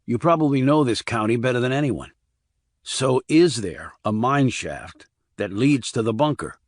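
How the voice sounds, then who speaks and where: A man playing a steady ex-Army investigator in an audiobook, direct and controlled as he questions a witness. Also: mid-range voice, moderate pace, no echo, studio quality, close to an everyday voice. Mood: probing.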